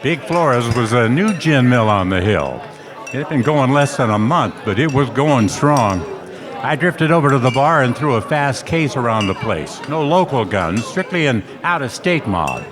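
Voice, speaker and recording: A man's voice: mid-range voice, lively intonation, normal speed, a crowd in the background, lightly acted. A man playing a wisecracking hard-boiled private eye, wry, tough and slangy, in a noir radio play. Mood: wry, narrating. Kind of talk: noir radio play narration and dialogue on stage. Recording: reverberant hall stage recording.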